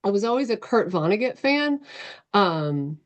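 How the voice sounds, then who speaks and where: A woman's voice: medium pitch, very animated, a little slow, muffled recording. A middle-aged woman answering interview questions casually on a video call, loosely structured and often correcting herself as she explains. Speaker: interview guest, novelist. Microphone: video call microphone.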